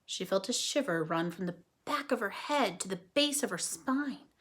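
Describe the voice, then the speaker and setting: A woman reading a children's story aloud as a storyteller, with dramatic, deliberate phrasing. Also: mid-range voice, expressive, a bit bright, breathy edge. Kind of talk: expressive children's story narration.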